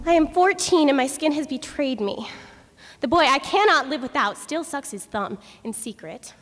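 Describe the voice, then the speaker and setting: A woman's voice: high voice, animated, normal speed, fairly bright tone, faint music behind, pitched above the performer's own voice. A woman performing an anxious fourteen-year-old girl in a dramatic stage reading. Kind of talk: dramatic poetry recitation. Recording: reverberant hall.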